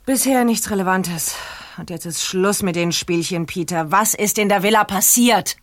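An actress playing a sharp female police detective in a radio play, questioning a suspect with persistent, sarcastic probing. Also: medium pitch, normal speed, slight background noise, natural voice.

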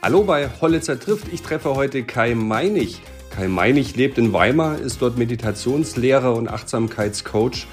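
A man hosting a podcast, introducing his guest and asking friendly, relaxed questions. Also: mid-range voice, moderate pace, hardly any pauses, soft background music. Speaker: podcast host, newspaper editor-in-chief. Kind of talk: podcast interview.

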